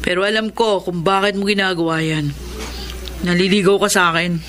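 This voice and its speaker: A woman's voice: on the low side, steady tone, fairly quick, fairly bright tone, a little hiss or hum, natural voice. A woman playing a caring, protective tomboy in a Filipino drama, speaking sincerely and consolingly. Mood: reluctant, candid. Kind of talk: Filipino radio-style drama acting.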